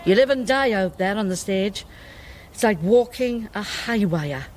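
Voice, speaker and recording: Swooping, theatrical older Welsh singing star. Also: female voice, medium pitch, expressive, a little slow, a little mellow. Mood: confiding. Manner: theatrical, grand, teasing. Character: ageing washed-up female singing star, theatrical, proud, sharp-tongued. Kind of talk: radio play acting.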